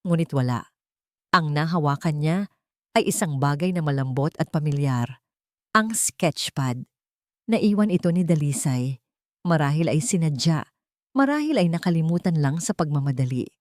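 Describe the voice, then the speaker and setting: A woman with a Filipino accent reading a dramatic romance story in a measured, solemn way. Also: sits low, mellow, no room sound, studio quality. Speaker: story narrator.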